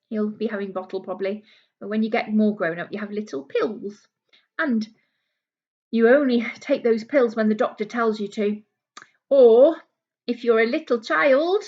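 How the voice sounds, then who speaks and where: A woman's voice: a little high, lo-fi sound. A British woman reading a children's book aloud like a primary teacher, explaining things simply for young listeners. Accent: British English.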